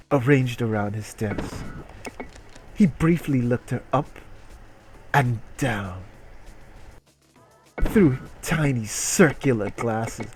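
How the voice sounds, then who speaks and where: A man's voice: mid-range voice, darkish tone, poor audio. A man reading a story aloud as a streamer and breaking off for irreverent, sweary commentary. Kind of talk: dramatic reading with mocking live commentary.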